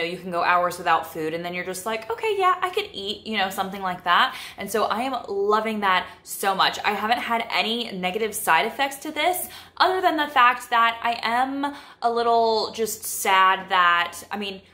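A woman's voice: mid-range voice, lively intonation, moderate pace, few pauses, sharp tone. A young woman in her twenties chatting casually to camera in her home kitchen, with lots of fillers like "like" and "you know.". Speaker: vlogger. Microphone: camera in front of her at close range.

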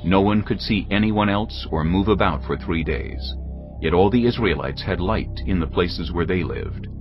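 A man with a very deep voice narrates scripture in a grave, measured reading. Clear music plays underneath, and the sound is a little dull.

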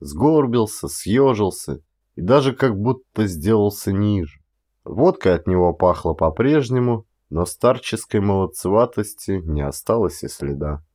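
A male actor narrates classic fiction in a fairly low voice. His delivery is measured and expressive, shaped as dramatic storytelling. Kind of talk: dramatic literary audiobook narration.

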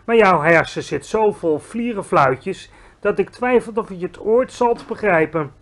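A man voicing a big friendly male giant in a storybook reading, excitable, loud and drawn out, with muddled grammar. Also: high voice, pitched above the performer's own voice. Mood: lecturing. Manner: mangled grammar, loud exclamations, drawn-out words.

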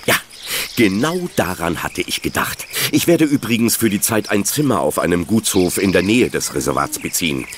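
An actor playing a kindly, gently teasing father of a teenager in a German children's audio drama. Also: sits low, very animated, normal speed, a little hiss or hum. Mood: friendly.

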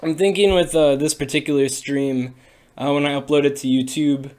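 A young man's raspy, hoarse voice, slightly higher than most men's. He talks in a loose, rambling way, full of "uh" and "you know" and restarts, like a streamer thinking aloud. It sounds picked up by a desk webcam in a small room. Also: steady tone, normal speed, darkish tone. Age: young adult. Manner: rambling, many fillers and restarts. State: hoarse from a cold. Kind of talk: casual livestream explainer.

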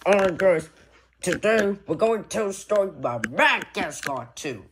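A man improvising bedtime stories in a halting, rambling, playful way. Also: high-pitched, lively intonation, background noise. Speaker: storyteller. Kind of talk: improvised bedtime storytelling with toys.

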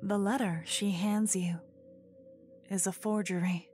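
Slow, hushed woman narrating fantasy ASMR. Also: mid-range voice, steady tone, soft background music. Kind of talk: second-person scripted fantasy narration, ASMR.

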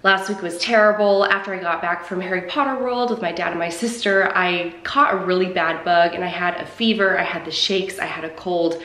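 A woman's voice: fairly low pitch, brisk, hardly any pauses, a bit bright. A young woman vlogging at home in a chatty, upbeat way, her voice nasal and stuffed up from a cold. She sits close to the camera mic, and the bare rooms around her add a roomy echo. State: congested, stuffed up. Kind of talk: casual vlog talk to camera. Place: home, indoors. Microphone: camera mic at arm's length.

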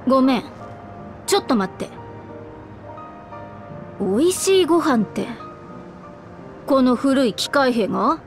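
An actress playing a confident, brisk teenage elf girl in a video game, speaking in a feminine, matter-of-fact way. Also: sits high, big pitch swings, faint music behind, full character voice. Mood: surprised. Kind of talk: video game cutscene acting.

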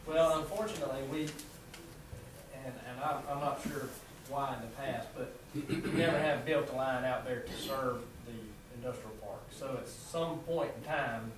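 A Southern American man walking a council through a proposal, stopping often on fillers and restarts. His voice sits at an ordinary pitch and rings around a small room, picked up from far away with a steady hiss behind it. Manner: halting, many fillers and restarts. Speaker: city utility official presenting to council. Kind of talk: presenting a proposal at a council meeting. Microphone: far room camera mic. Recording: echoey room from far off, background noise.

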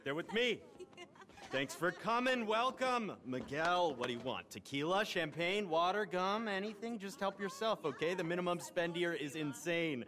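Spoiled young american crime heir in a game, cocky buzzword talk, swears loads, voice all over the place, echoey. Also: male voice, high voice, expressive, normal speed, bright, forceful tone. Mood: eager hosting. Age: young adult. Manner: cocky salesman patter, corporate buzzwords, swearing. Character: brash, entitled young crime heir with big plans. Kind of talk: video game cutscene acting.

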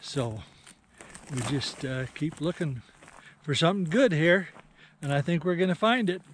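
Folksy older man with a slightly high voice. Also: animated, unhurried. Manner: folksy, rambling with many fillers. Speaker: vlogger. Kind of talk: casual vlog narration. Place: in a car, then outdoors in a canyon. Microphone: handheld camera at arm's length.

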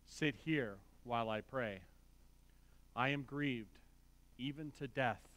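A man playing a sorrowful praying teacher. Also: mid-range voice. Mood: grieving. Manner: soft, slow and grave. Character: sorrowful male teacher praying before his arrest.

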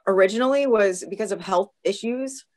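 A woman in her thirties with a permanently hoarse, raspy voice, talking as a guest on a video call, thoughtful and full of fillers and restarts. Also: mid-range voice, a little fast, a little mellow. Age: 30s. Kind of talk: casual video-call interview. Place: home room. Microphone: video call webcam.

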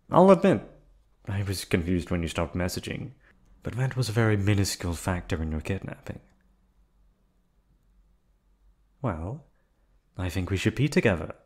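A man voicing a calm, unhinged yandere receptionist who has kidnapped the listener, in an ASMR audio drama. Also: fairly low pitch. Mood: coolly reasoning. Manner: soft, coolly reasoning, menacing. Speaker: voice actor.

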